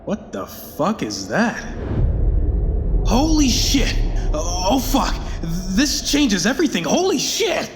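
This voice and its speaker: A man's voice: high voice, huge pitch swings, slow, heavily acted. Actor as an anxious, bitter spaceman in cavernous reverb. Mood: shocked. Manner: muttering to himself, swearing, sighing. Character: anxious, bitter, foul-mouthed male spacecraft crew member. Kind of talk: audio drama acting. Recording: long hall-like reverb under loud music.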